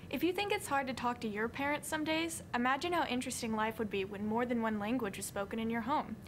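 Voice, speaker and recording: A woman's voice: a little high, fast. Female student narrator, read-aloud delivery, light background hiss. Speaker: show narrator. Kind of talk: scripted narration.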